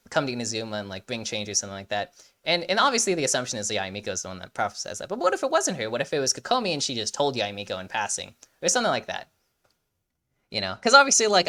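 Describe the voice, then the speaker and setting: A man's voice: mid-range voice, bright, forceful tone. A young man giving casual, rambling, emphatic commentary as a reaction video host.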